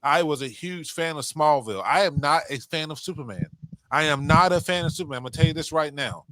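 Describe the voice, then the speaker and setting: Male podcaster, bright punchy voice, blunt and sweary, repeats points for emphasis, dry close sound. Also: fairly high pitch, fast, no room sound, low-quality recording. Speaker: podcast co-host. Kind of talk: casual opinionated chat.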